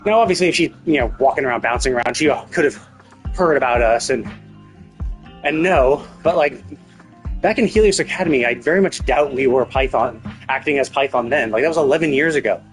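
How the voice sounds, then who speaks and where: A man's voice: mid-range voice, fairly quick. A man streaming casual game commentary, thinking aloud and rephrasing himself as he speculates about a story. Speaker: live streamer. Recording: music playing behind the voice. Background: game music.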